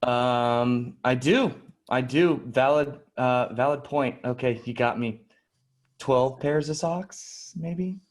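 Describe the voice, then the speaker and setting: A man playing a nervous, eager job applicant in improvised sketch comedy, speaking politely and haltingly. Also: mid-range voice, close to an everyday voice. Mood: flustered, apologetic. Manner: hesitant, polite, stammering.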